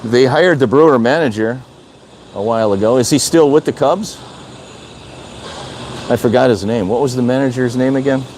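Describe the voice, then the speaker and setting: A man's voice: medium pitch, moderate pace, long pauses, a little mellow, slight background noise. An older man from Wisconsin chatting casually on a live stream, rambling and repeating himself as he answers viewer comments. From Wisconsin, USA. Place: outdoor city balcony.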